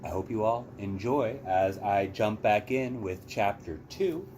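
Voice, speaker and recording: A man reading a children's book aloud as a storytime host, with measured, deliberate phrasing and dramatic emphasis. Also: lowish voice, lively intonation, darkish tone, a little hiss or hum.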